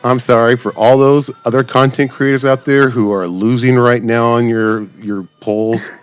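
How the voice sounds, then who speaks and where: A man's voice: medium pitch, steady tone, normal speed, clear, resonant tone. A man giving casual, rambling gameplay commentary, talking directly to viewers as a channel host. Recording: background game music.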